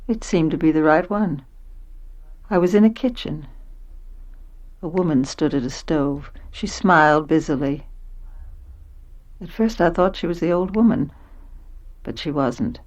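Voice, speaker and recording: Slow, introspective middle-aged narrator. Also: female voice, deep voice, expressive, darkish tone, muffled recording. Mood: wondering, uneasy. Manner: reflective, self-questioning. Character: introspective, wondering middle-aged woman narrating her own story. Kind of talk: first-person radio play narration.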